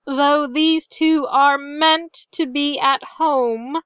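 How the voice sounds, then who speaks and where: A woman's voice: extremely high pitch, sing-song, slow, pushed and bright, no room sound, dull audio, pitched above the performer's own voice, heavily acted. A woman voicing a stern, protective aunt from the desert in a story, firm, clipped and snappish. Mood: annoyed, snapping.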